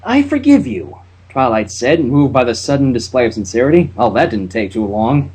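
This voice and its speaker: A man's voice: medium pitch, brisk, a little mellow, slightly rough. A man hosting a casual fan fiction reading, narrating with chatty, wry asides. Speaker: channel host and reader.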